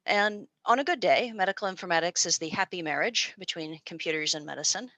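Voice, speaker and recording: An American woman presents to an online audience in a low yet bright, forceful voice. She speaks in a chatty, informal way, stumbling over fillers and restarting her sentences. The sound is close and dry. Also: fairly quick, dry recording. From United States. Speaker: presenter and postdoctoral researcher. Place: small room at a university. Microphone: video call.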